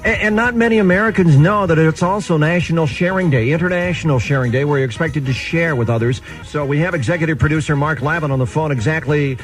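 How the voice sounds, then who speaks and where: A man's voice: fairly high pitch, fast, soft background music. A man hosting a morning radio show, chatting and introducing bits in on-air banter.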